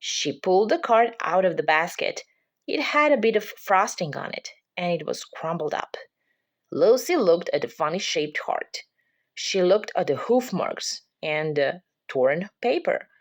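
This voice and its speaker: A woman's voice: lowish voice, sing-song, a little slow. A woman reading a children's picture book aloud as a parent would, in scripted storybook narration.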